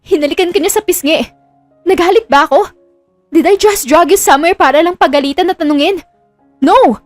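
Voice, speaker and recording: A woman's voice: extremely high pitch, fairly quick, dry recording, soft background music, voice pushed up. A woman voicing a hurt, jealous, defensive young wife in an audio drama romance. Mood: jealous outburst.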